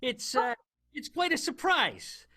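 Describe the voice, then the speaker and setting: A man playing a halting, deliberate 1920s police detective in an improvised comic radio play. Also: extremely high pitch, huge pitch swings, pushed and bright, slight background noise, heavily acted. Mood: star-struck. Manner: halting, deliberate, quasi-hardboiled patter. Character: folksy, star-struck police detective in 1920s vaudeville New York.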